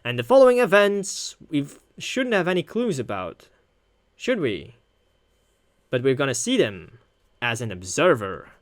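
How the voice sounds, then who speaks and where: A woman's voice: rumbling low, sing-song, measured pace, pauses often, no echo, clean studio sound. A woman doing casual let's play commentary on a visual novel, muttering reactions to herself and swearing. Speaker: gaming video host. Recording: music behind the voice. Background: game music.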